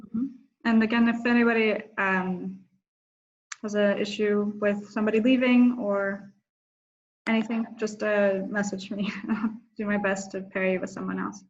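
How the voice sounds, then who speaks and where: A woman's voice: mid-range voice, level tone, normal speed. A woman hosting an online workshop, chatting casually and a bit hesitantly as she organises people over a video call. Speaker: online workshop host managing breakout rooms. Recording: dull lo-fi video call audio.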